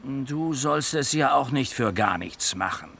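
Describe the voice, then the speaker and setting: Slow, terse, menacing man. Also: mid-range voice, lively intonation, vocal fry, a bit bright, slightly rough, exaggerated voice. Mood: quietly threatening. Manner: terse, commanding. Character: menacing, terse man of authority, coolly controlled. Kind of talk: German radio crime drama acting.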